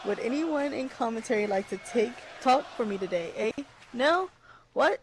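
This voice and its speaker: A woman's voice: a little high, expressive, normal speed, a little hiss or hum, natural voice, low-quality recording. A woman voicing a perky, fake-sweet Canadian wrestling champion, tagging "eh" onto nearly every sentence. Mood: fake cheerful.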